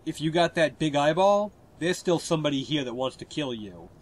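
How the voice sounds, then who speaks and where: A man with a stuffy cold, giving relaxed, explanatory gameplay commentary over a walkthrough. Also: a little high, brisk.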